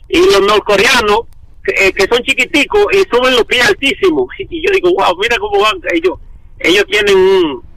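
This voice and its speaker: A man, talking casually on the phone in a halting, repetitive, defensive way. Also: high voice, vocal fry, sharp tone, rough recording. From Dominican Republic. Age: 50s. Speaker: prank call victim.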